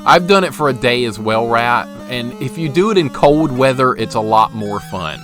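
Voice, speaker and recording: A man's voice: medium pitch, lively intonation, moderate pace. A man, chatting casually and rambling while he streams a retro game. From Alabama. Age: 40s. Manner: rambling, self-interrupting, chatty. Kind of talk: casual live-stream chat while playing. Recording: game music playing behind.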